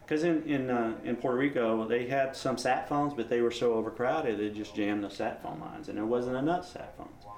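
A man's voice: medium pitch, moderate pace, few pauses, a little mellow. Plainspoken man in a roomy recording. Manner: informal, plainspoken. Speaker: amateur radio volunteer being interviewed. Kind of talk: casual interview talk. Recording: roomy, echoing interview recording.

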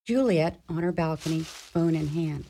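A woman's voice: deep voice, normal speed, mellow. A woman narrating an amateur radio drama from a script, setting up each sketch.

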